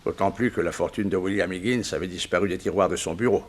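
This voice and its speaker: An actor playing a composed, formal examining magistrate recounting a case in a 1960s French radio drama. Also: male voice, low-pitched, fast. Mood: sober recounting.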